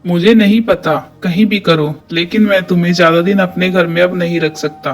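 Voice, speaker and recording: Cartoon brother played by a man: blunt, dismissive, unwelcoming, high male voice, music underneath. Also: steady tone, normal speed, close to an everyday voice. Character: unwelcoming, struggling brother of the heroine. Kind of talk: cartoon dialogue. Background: background music.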